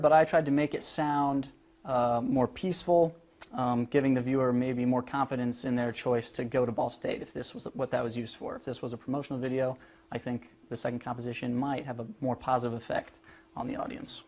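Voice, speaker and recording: A young man giving a prepared student presentation, explaining his work in a polite, measured way. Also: mid-range voice, darkish tone. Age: young adult.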